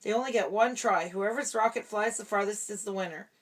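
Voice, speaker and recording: A woman's voice: medium pitch, brisk, natural voice, low-quality recording. A woman voicing a confident, upbeat male toy space ranger from a storybook. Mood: announcing.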